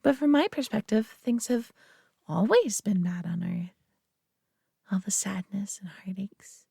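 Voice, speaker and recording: A woman's voice: mid-range voice, a little slow, no room sound, clean studio sound, exaggerated voice. A woman voicing a prideful, doting alien who owns a human pet, teasing and condescending yet affectionate in an intimate audio roleplay. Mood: amused, sympathetic. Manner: teasing, condescending, affectionate, often hushed and breathy.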